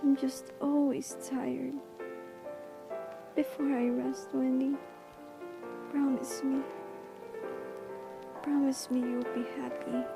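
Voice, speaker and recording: A woman's voice: high-pitched, little pitch movement, vocal fry, heavily acted. A woman playing a frail, loving, dying old mother in an amateur audio drama, speaking tenderly and formally. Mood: weary, fading. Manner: slow, tender, formal phrasing. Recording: music loud behind voice.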